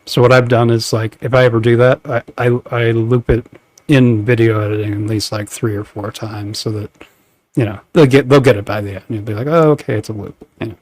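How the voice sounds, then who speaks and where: A man co-hosting a casual podcast, talking at a middle pitch with a flat, deadpan delivery he calls monotone. His jokes come out dry and understated. The recording is close and dry, with no room around the voice. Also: a little fast, dry recording. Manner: dry deadpan joking, self-described monotone. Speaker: podcast co-host. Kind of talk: casual chat reacting to videos.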